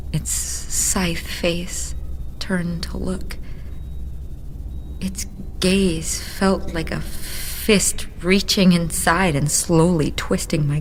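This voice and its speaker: A woman's voice: on the low side, slight background noise, low-quality recording. An actress in an audio drama playing a haunted, zealous young woman on a mission, intense and solemn. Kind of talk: audio drama acting, confessional monologue.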